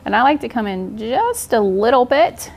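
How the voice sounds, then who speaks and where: A woman's voice: a little high, sing-song, normal speed, creaky, darkish tone, breathy edge. A woman in her forties giving an informal, encouraging art journaling tutorial in a casual style. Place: indoor art studio, seated at work table.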